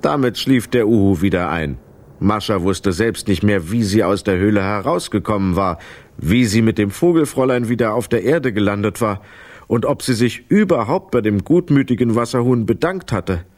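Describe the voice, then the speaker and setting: A man's voice: on the low side, animated, normal speed, darkish tone. A man narrating a children's radio play as a scripted storyteller.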